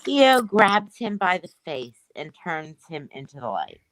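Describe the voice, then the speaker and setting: Female narrator for a play reading, very low and slow, plain delivery, dry close sound. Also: very animated, fairly bright tone, no room sound, poor audio. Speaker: stage directions reader. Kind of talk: scripted narration in a play table read.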